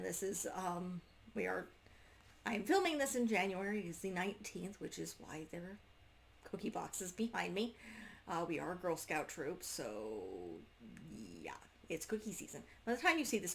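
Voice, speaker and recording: A woman's voice: on the low side, lively intonation, gravelly, a bit bright, a little hiss or hum. A woman reviewing a book casually to camera, unscripted, often breaking off and restarting her sentences as she goes. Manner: halting, self-interrupting, trailing off mid-sentence.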